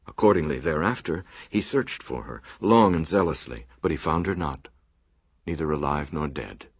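A man's voice: very deep voice, expressive, moderate pace, slightly rough, no room sound, studio quality, heavily acted. A man playing a solemn monk who recites his order's sacred history in archaic, oratorical radio drama style. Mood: solemn, reverent.

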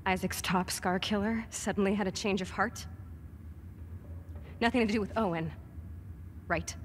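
A woman's voice: mid-range voice, fairly even intonation, normal speed, slight background noise, natural voice. Bitter, blunt young woman for a game drama, played by an actress; naturalistic, cutting, accusatory. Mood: cold, accusing. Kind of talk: naturalistic video game cutscene acting.

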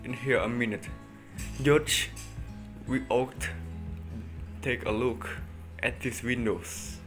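A man's voice: medium pitch, steady tone, slow, close to an everyday voice. A young man playing a plain-spoken county lawman in an amateur stage drama. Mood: matter-of-fact. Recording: distant phone recording, music laid over.

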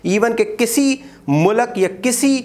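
A man gives an exam-prep history lecture. He gestures a lot, repeats key dates and often pauses with "uh". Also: very high voice. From Pakistan. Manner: animated, gestures widely, repeats key dates, frequent "uh" fillers. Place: small room in front of a whiteboard. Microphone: lapel mic.

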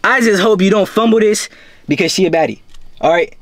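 A man's voice: sits high, a little fast, no room sound. A young man hosting a blind date from inside a parked car at night, chatting in a teasing, slangy way with lots of swearing. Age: young adult. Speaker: host setting up a blind date. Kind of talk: casual chat, slangy and joking. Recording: music playing under the talk.

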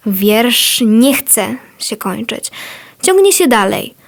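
Woman reciting poetry slowly. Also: on the high side, very animated, gravelly. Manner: measured, deliberate. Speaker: poem reader.

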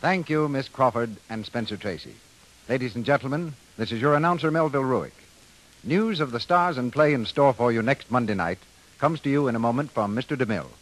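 A man announcing on 1930s radio in a formal, measured, scripted reading style. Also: medium pitch, animated, rough recording.

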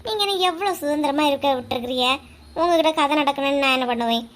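A woman's voice: sits high, fast talker, slight background noise, close to an everyday voice. A woman voicing a sulky young village wife in a cartoon comedy, speaking with a Kanyakumari Tamil accent in a whiny, coaxing manner.

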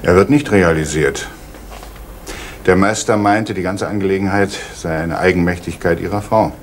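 A man as a deep, calm, probing police inspector in an old radio play with tape hiss. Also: normal speed, close to an everyday voice. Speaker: police detective Fuchs. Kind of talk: 1980s East German radio crime drama.

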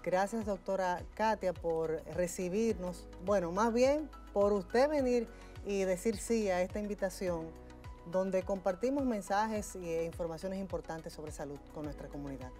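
Mellow-voiced woman hosting a health show. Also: medium pitch, a little slow, soft background music. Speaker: TV host. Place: TV studio set. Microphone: lapel mic.

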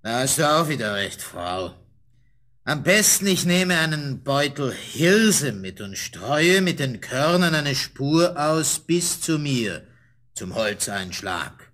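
A hardworking fairy-tale woodcutter in a radio play. His voice is bright and very animated, yet deliberate and slow. He sounds earnest and practical. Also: mid-range voice. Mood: planning.

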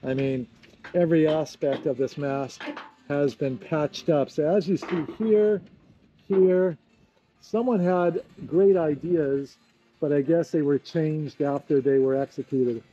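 A man's voice: fairly high pitch, steady tone, slow pace, warm tone, highs cut off. A middle-aged man walking a boat yard and talking casually to a handheld camera, easygoing and rambling with plenty of fillers. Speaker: vlogger rebuilding a trimaran. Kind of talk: casual walk-and-talk vlog. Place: outdoor boat yard in the sun. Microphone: action camera held at arm's length.

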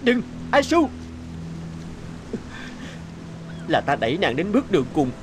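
A man's voice: high voice, wildly swooping pitch, fast talker, noisy background. A man playing a brave, dutiful young knight in a cartoon fairy tale, speaking formally and earnestly. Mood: anguished.

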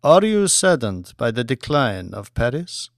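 A man voicing a powerful, courteous Saudi sheikh in an audiobook, speaking formally and deliberately with a subtle menace. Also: medium pitch, big pitch swings, no room sound, clean studio sound. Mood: probing, formal.

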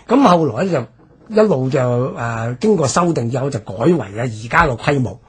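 A male radio presenter with a Hong Kong Cantonese accent explains history in a very husky, rough voice. His pitch moves up and down in an animated way, and he stretches the ends of his phrases as he lays out each point.

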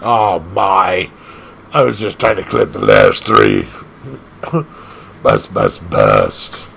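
Excitable man with a gravelly voice. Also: slow pace, a little hiss or hum. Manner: excitable, laughs often, jumps between squeaky highs and low growls. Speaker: gaming channel host. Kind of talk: casual arcade gameplay commentary.

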